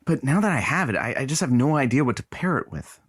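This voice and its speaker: An actor playing a petty, mocking radio producer and announcer in a comedic audio drama, with halting patter and theatrical outbursts. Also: male voice, medium pitch, sing-song, husky. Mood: feigned innocence.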